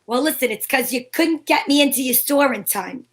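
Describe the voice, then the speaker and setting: A woman's voice: high voice, animated, brisk. A woman bantering casually in asides during a script read.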